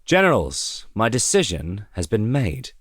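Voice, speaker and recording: A man's voice: fairly low pitch, huge pitch swings, unhurried, fairly bright tone, slightly rough, dry recording, studio quality, full character voice. A man voicing an arrogant, resolute young French military commander in an audio drama.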